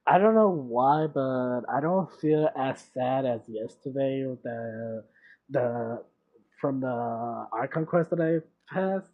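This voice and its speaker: A game streamer giving casual reaction commentary in a halting way, often repeating words. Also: medium pitch. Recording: dull lo-fi mic. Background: game music.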